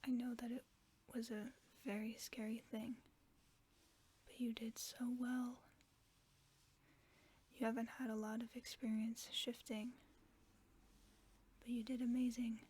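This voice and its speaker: A gentle, protective werewolf friend, played by a woman, in a sleep-aid audio roleplay. The voice is a soft, breathy whisper, pitched a little high, with a mellow tone. It sits close to the microphone. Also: level tone, measured pace, pauses often. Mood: soothing.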